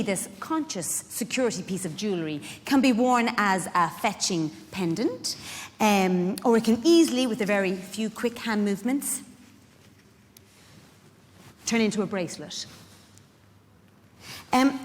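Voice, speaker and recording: A woman's voice: on the high side, big pitch swings, moderate pace. Irish woman acting a wry chatty carer on stage, really up and down delivery, asks pointed questions, big echoey hall. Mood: demonstrating, fussy. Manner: conversational, pointed rhetorical questions. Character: chatty, frank, wry woman carer looking out for elderly clients. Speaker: actor in a dramatic reading. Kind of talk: theatrical monologue addressed to a live audience. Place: large indoor hall at a public event.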